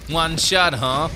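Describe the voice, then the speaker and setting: A man's voice: fairly high pitch, noisy background. A man in his thirties giving casual, informal gameplay commentary, thinking aloud into a close desk mic. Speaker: gaming streamer. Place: small indoor streaming room. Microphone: close desk microphone on boom arm. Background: loud game music and sounds.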